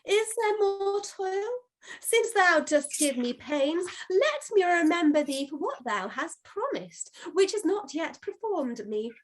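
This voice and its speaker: A woman's voice: extremely high pitch, expressive, slow, full character voice. A woman playing an airy servant spirit in a Shakespeare reading, declaiming verse in an eager, deferential, theatrical way. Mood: pleading.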